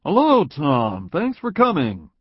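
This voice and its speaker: A man's voice: mid-range voice, sing-song, normal speed, no echo, pitched above the performer's own voice. A man voicing a confident male executive in an audiobook, speaking firmly and emphatically. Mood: assured. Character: confident smiling male boss, direct and warm. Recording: dull lo-fi audio.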